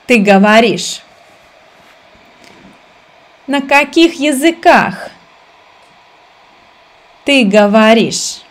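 A woman in her thirties leads a reading drill, speaking with deliberate, drawn-out slowness. She repeats each phrase, breaking words into syllables for learners. Her voice sits a little high, close to a webcam in a room at home. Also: expressive. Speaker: language teacher.

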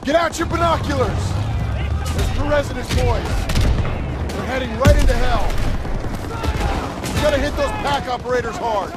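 An actor playing a hard-bitten American World War Two army sergeant in a cinematic war game, barking orders gruffly. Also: male voice, extremely high pitch, normal speed, pauses often, over loud music. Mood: urgent, commanding. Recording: loud battle score and gunfire behind.

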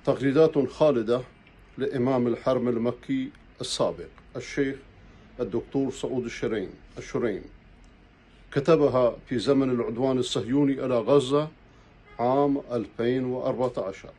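An older man delivering a Friday sermon in a small room, reciting formal prayers at a slow, measured pace into a lapel mic. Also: medium pitch, warm tone, breathy edge. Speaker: preacher. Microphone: clip-on lapel mic.

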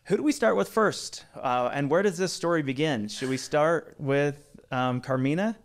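A man's voice: on the high side, normal speed. A man in his forties hosting a podcast, upbeat and chatty with many fillers, speaking into a studio mic in a small studio. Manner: upbeat, with frequent "uh" fillers and restarts. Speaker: podcast host. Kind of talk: casual podcast interview. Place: small studio with brick wall, indoors. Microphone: studio mic on boom arm.

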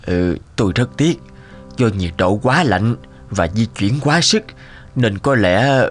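An actor playing a solemn, kindly town doctor in an animated film, measured and consoling. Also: male voice, lowish voice, faint music behind.

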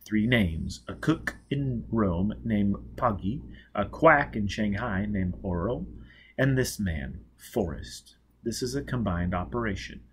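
A man's voice: on the low side, animated, takes it slowly, breathy edge, full character voice. A man playing a coldly driven, vengeful man in an audiobook, giving terse commands. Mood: grimly determined.

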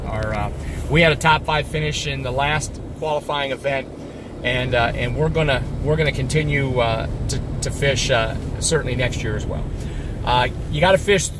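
Rambling fishing guide, man, slightly high voice, casual report recorded outdoors with noise behind. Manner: rambling, chatty, with many fillers and restarts. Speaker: fishing guide and tournament angler.

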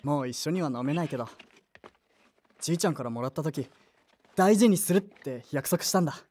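A woman's voice: deep voice, animated, brisk, mellow, a little hiss or hum. A woman voicing an earnest, anxious teenage boy in a radio drama, boyish and emotional with blunt speech. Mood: wistful. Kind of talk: radio drama acting with narration.

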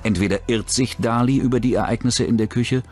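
A man's voice: medium pitch, fairly even intonation, fairly quick, darkish tone. A man narrating a scripted true-crime documentary. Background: background music.

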